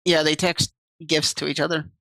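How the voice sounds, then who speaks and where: A woman's voice: deep voice, little pitch movement, moderate pace, fairly bright tone, dry recording. A woman streaming, reading visual novel text aloud and chatting casually with viewers on a desk mic. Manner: chatty, reads game text aloud and answers chat.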